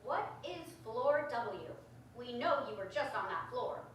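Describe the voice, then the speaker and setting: A woman playing a tough policewoman with a soft side in a 1940s-style radio drama, dramatic and emphatic. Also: a little high, expressive, a little slow, a little hiss or hum. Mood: urgent. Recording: very echoey theater stage, distant mic.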